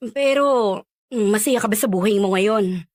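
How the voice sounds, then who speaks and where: A woman's voice: medium pitch, moderate pace, darkish tone, no echo, clean studio sound, natural voice. A young woman playing a nosy, frank, well-meaning friend in chatty radio drama dialogue, probing and giving blunt advice. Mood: pushy, concerned.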